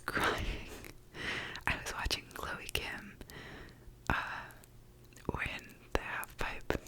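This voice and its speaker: A woman's voice: bright, forceful tone, low-quality recording. Whispering young woman, chatty ASMR. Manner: chatty, swears casually, says "like" often; whispers the whole time. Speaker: ASMR vlogger. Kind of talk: whispered ASMR makeup chat. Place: her apartment.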